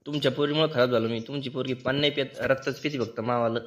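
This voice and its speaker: A man's voice: mid-range voice, fairly even intonation, quick pace, natural voice. A man playing a grumpy, sarcastic son-in-law in a comedy cartoon, speaking with a rural Maharashtrian accent. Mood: indignant. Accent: rural Maharashtrian Marathi. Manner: complaining, mocking. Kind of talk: comedy cartoon dialogue in colloquial Marathi.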